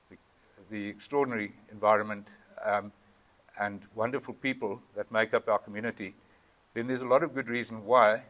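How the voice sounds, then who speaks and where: A South African man opens a community meeting in a town hall, speaking through a PA microphone. His delivery is earnest and halting, with frequent ums and a mid-range voice. He drifts between Afrikaans and English as he talks. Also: medium pitch, a bit bright. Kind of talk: public address at a town meeting. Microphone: PA microphone with feedback.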